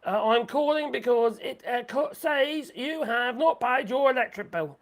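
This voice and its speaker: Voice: mid-range voice, animated, measured pace, pitched above the performer's own voice. A British livestreamer putting on a falsely polite phone scam caller. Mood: wheedling. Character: phone scam caller fishing for card details.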